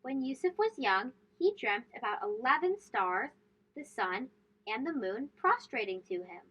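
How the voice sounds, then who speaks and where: A woman's voice: on the high side, sing-song, unhurried, fairly bright tone, slightly rough, rough recording. A woman hosting a children's read-aloud, telling storybook tales emphatically and putting questions to young listeners.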